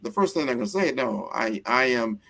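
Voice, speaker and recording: A man from the United States with a fairly low voice, speaking conversationally as the host of an interview. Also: sing-song, a little fast, vocal fry, pushed and bright. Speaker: show host.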